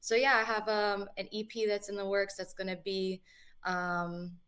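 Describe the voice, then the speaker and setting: A woman's voice: mid-range voice, moderate pace. Chatty young woman on a video call. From New England, USA. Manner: relaxed, chatty, many fillers. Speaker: guest musician. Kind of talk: casual interview answers.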